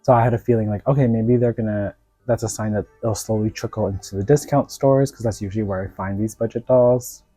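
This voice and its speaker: Man with a cold, low and dark tone, rambling doll-review vlog chat, dry close sound. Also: steady tone, brisk, dry recording, faint music behind. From United States. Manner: rambling, many filler words. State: sick with a cold.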